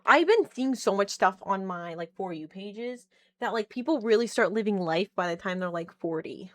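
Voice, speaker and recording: A woman's voice: mid-range voice, lively intonation, normal speed. A woman in her thirties chatting casually on a live stream, laughing often and reading chat aloud while she tinkers with a keyboard at home. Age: 30s. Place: indoors at home, working on a keyboard.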